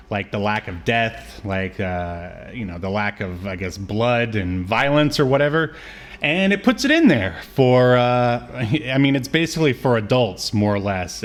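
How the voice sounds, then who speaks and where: A man with a medium-pitched voice speaks as a podcast co-host. His delivery is casual and conversational, rambling along loosely as in a chat between friends. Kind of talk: casual chat about cartoons.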